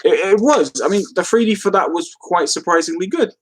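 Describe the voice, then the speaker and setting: A man's voice: sits high, lively intonation, fairly quick, slight background noise. Giggly man chatting on a live stream. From London, England. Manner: rambling, easily amused, giggly. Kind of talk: casual chat, answering live comments.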